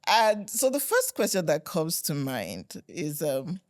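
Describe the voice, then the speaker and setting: A woman host with a fairly low but very animated voice, asking questions in a friendly way. She is recorded dry and close, with frequent small affirming murmurs. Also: takes it slowly, no echo. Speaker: interviewer host. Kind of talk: conversational interview.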